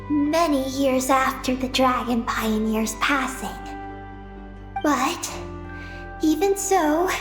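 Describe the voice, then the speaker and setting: A woman playing an earnest, determined young girl on a mission in a video game, speaking haltingly and solemnly. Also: high-pitched, echoey room.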